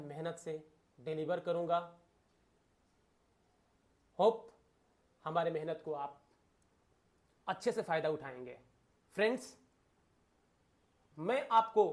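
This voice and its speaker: This is a man with a noticeably high-pitched voice, giving an informal lecture to exam students. He speaks emphatically, circles back to key phrases and keeps addressing his listeners directly, mixing in English terms as he teaches. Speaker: exam coaching teacher and video host.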